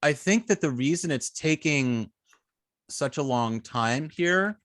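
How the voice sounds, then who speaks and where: A man teaching informally as an instructor, thinking aloud with halting, repeated and restarted phrases. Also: fairly high pitch, animated, fairly bright tone, no room sound, clean studio sound. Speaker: web design instructor.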